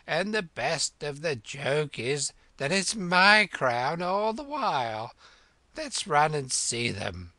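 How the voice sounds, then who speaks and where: A man voicing a fussy, excitable storybook king who speaks in slow, emphatic phrases. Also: on the high side, no room sound, voice pushed up, exaggerated voice. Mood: excited.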